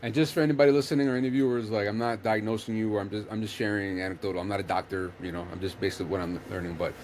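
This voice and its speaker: A man's voice: lowish voice, steady tone, very fast, a little mellow, a little hiss or hum. A man hosting a casual chat, explaining earnestly while rambling and restarting his sentences.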